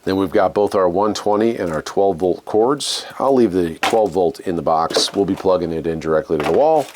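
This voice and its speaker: An American man with a fairly low voice hosts a hands-on demonstration. He speaks casually and conversationally, in a folksy way, as if thinking aloud while he shows things off. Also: normal speed, background noise. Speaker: video host.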